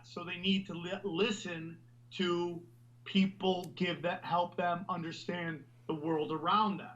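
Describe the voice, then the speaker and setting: Man, high bright voice, loud rambling podcast talk, muffled lo-fi sound. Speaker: podcast host. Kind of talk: casual podcast chat.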